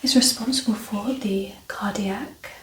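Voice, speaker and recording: Soft whispery asmr lady playing doctor, calm gentle instructions, bit far from the mic, some background noise. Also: mid-range voice, measured pace. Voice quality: soft, breathy, often whispered. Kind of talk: soft-spoken ASMR medical exam roleplay. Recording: roomy echo, distant mic, background noise.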